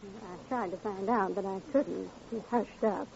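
An American actress playing a domineering, nagging wife with an insistent, scornful manner in an old-time radio crime drama. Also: female voice, medium pitch, fairly even intonation, a little slow, gravelly, a little mellow. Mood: defiant. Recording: muffled, noisy vintage radio recording.

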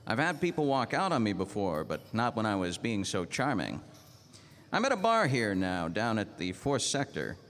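An actor playing a tough, terse, world-weary police detective narrating a 1940s-style noir radio drama. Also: male voice, lowish voice, huge pitch swings, moderate pace, fairly bright tone, slight background noise, heavily acted. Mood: wry, world-weary. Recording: radio play over prominent music.

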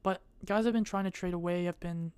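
A young adult woman vlogging in a casual, rambling way. She speaks in a monotone and hesitates often, with many fillers. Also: on the low side, a little fast, clean tone, no echo.

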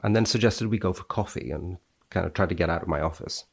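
A man's voice: deep voice, fast talker, vocal fry, slightly rough. A man, a researcher appearing as a podcast guest, reading studies dramatically and chatting about them.

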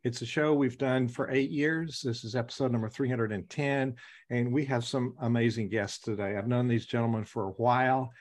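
A man's voice: medium pitch, steady tone, moderate pace. A man from the American South hosting a podcast interview in a chatty, enthusiastic way. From Southern United States. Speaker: podcast host.

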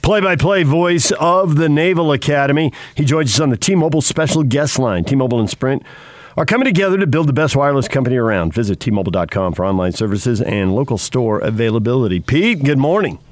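A man's voice: mid-range voice, lively intonation, a little fast, no echo. A man hosting a sports radio show, delivering introductions and putting questions to a guest.

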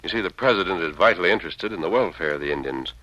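An actor playing a grave, authoritative Western lawman hero, speaking formally and with measure in a resonant American baritone, in radio drama style. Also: expressive, racing, bright, forceful tone, no echo, full character voice. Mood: assured, earnest. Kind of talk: old-time radio western acting. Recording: dull, dry vintage radio recording.

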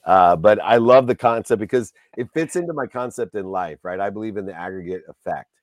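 A man's voice: fairly low pitch, animated, a little fast, no room sound. A man hosting a casual interview, talking in an enthusiastic, rambling way and heaping praise on his guest. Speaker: podcast host.

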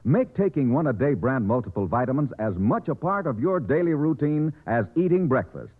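American man doing old radio ad, husky but really animated, tinny narrow vintage sound. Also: mid-range voice, moderate pace. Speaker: commercial announcer. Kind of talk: vintage radio advertisement, scripted announcing. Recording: muffled vintage radio broadcast, narrow band.

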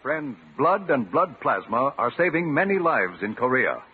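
A man's voice: mid-range voice, very animated, measured pace. An American man delivering an earnest 1950s radio public service appeal. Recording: muffled, rough old radio broadcast.

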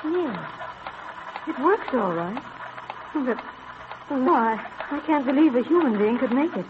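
A practical, doubting but devoted colonial farm wife in a vintage radio drama. She speaks in a slightly high voice, questioning with exasperation. The old recording is dull, with faint noise. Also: lively intonation, unhurried. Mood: doubtful.

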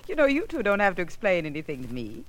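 An actress playing a knowing, flirtatious rich widow, gushing and arch in 1940s radio drama style. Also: female voice, medium pitch, sing-song, quick pace, close to an everyday voice. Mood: knowing, teasing.

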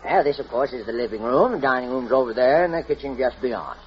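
A man's voice: medium pitch, expressive, fast, close to an everyday voice. A man playing a jovial, chatty middle-aged house agent in a 1940s American radio drama, with a folksy, genial manner. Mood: brisk, genial. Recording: noisy muffled old radio recording.

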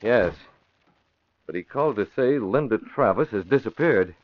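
An actor playing a resolute newspaper publisher and secret crimefighter, in the style of 1940s radio drama. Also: male voice, on the low side, lively intonation, moderate pace, no room sound, lightly acted. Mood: concerned, decisive. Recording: muffled, hissy old radio recording.

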